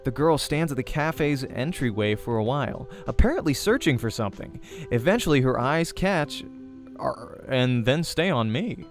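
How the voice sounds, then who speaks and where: A man hosts a visual novel playthrough, reading the narration aloud like a storyteller. His voice sits at a medium pitch, and music plays in the background. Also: expressive, normal speed. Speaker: let's play host and reader.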